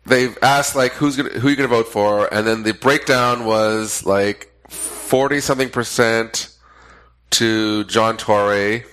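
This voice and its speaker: A man's voice: on the low side, steady tone, unhurried, fairly bright tone, clear, resonant tone, rough recording. A young man co-hosting a podcast in casual chat, his voice slightly nasal and congested from a cold, joking and rambling. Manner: joking, rambling, self-interrupting. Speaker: podcast co-host.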